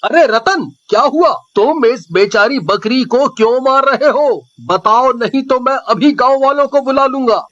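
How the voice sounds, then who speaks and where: Man acting a very high-voiced, dramatic villager. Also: a little slow, a bit bright, no echo, highs cut off. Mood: accusing. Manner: emphatic, dramatic. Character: agitated, righteous village man, protective and hot-tempered. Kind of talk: melodramatic animated story dialogue.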